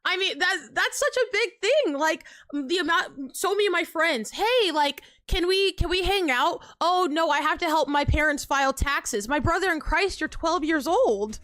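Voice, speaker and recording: A young woman talks to her live-stream viewers in a high, bright voice, riffing casually and emphatically with plenty of fillers. She is recorded close and dry, with no room sound. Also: normal speed, few pauses, dry recording. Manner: animated, filler-heavy, emphatic, frequent laughs and pitch jumps. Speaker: livestreamer.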